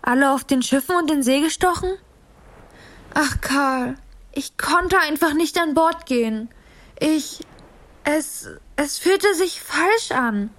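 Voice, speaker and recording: A woman's voice: high-pitched, animated, takes it slowly, fairly bright tone, exaggerated voice. A woman playing a frightened young girl in a radio play, urgent and pleading. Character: frightened young girl hiding, armed with knife.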